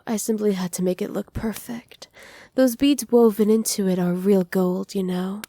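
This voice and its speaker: A woman playing a doting, possessive witch in an ASMR roleplay, speaking softly with teasing, condescending affection. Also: medium pitch, no room sound, lightly acted. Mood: doting, proud. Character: doting, possessive witch mistress pampering her captive pet. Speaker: voice actor.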